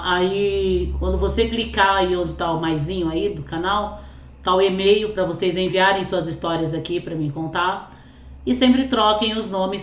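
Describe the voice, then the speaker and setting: A woman with a cold and a hoarse voice, hosting a storytelling channel and reading a listener's life story aloud between chatty asides full of little fillers. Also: mid-range voice. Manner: chatty, fills speech with "né" and "assim". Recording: echoey room.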